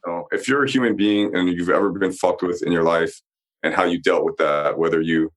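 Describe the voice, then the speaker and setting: A man in his forties co-hosting a podcast, talking bluntly and with a lot of emphasis. He swears heavily and speaks in a fairly low voice. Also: fairly even intonation, a little fast. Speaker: podcast co-host. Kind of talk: casual chat about a TV show.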